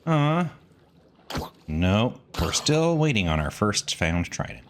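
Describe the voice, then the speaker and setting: A man's voice: on the low side, very animated, takes it slowly, a little hiss or hum. A man giving casual, chatty gameplay commentary, rambling in asides to viewers as a video host.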